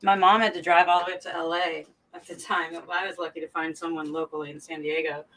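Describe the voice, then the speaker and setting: A woman's voice: on the low side, little pitch movement, fast talker, a bit bright, poor audio. A woman chatting casually and personally as a guest on a live stream.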